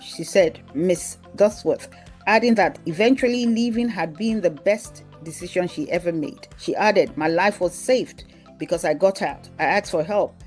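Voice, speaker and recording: A woman's voice: on the low side, darkish tone. A woman narrating a scripted news article aloud as a newsreel presenter.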